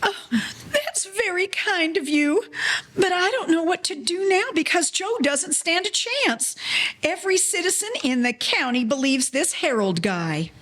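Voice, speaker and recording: An actress plays a worried young mother in a 1940s-style audio play. Her voice is high and very animated in pitch. She speaks plainly and plaintively. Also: a little slow, exaggerated voice. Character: worried, grateful young mother in a gangster tale.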